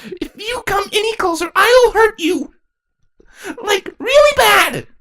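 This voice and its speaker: A shrill little changeling girl. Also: expressive, takes it slowly, a bit bright, no echo, clean studio sound, full character voice. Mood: fierce. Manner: shrill, trying to sound threatening. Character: small fierce female changeling child.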